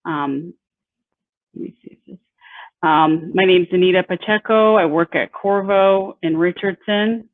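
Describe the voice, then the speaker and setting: A woman in her thirties from Peru, an engineer giving an informal talk about her company, halting with many fillers and restarts. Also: fairly low pitch, no room sound. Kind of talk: informal presentation. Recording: tinny phone-like audio, dry.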